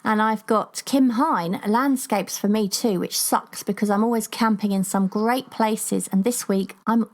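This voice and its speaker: A woman's voice: medium pitch, unhurried, hardly any pauses. Teasing woman in her forties. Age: 40s. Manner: teasing, giggly, reads listener comments. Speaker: podcast co-host. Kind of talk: casual chat.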